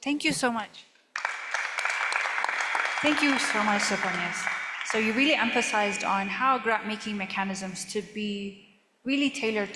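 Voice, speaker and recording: Brisk woman moderator in a hall. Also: mid-range voice. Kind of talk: moderating a panel. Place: large conference hall. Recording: reverberant hall, faint noise.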